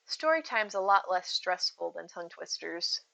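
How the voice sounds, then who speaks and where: Woman streamer, casual, soothing and bright voice, rambling and giggly chat. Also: fairly low pitch, big pitch swings, normal speed, gravelly, low-quality recording. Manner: chatty, rambling, giggly, addresses chat by name.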